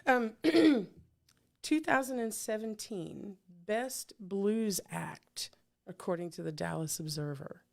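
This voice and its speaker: A woman's voice: mid-range voice, slow pace, creaky. A middle-aged woman hosting an interview, chatting casually and rambling with many fillers and restarts. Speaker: podcast host.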